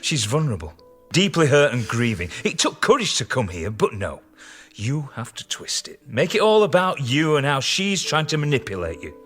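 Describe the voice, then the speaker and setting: An actor playing a protective, indignant British scientist in a radio drama, earnest and reproachful. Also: male voice, mid-range voice, very animated, moderate pace, a bit bright, soft background music. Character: protective, indignant male scientist, loyal to a troubled colleague. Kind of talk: naturalistic radio drama acting.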